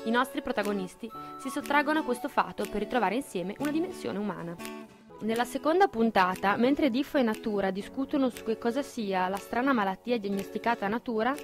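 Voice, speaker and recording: A young adult woman with an Italian accent reading a scripted introduction as narrator of a radio drama. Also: a little high. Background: guitar music.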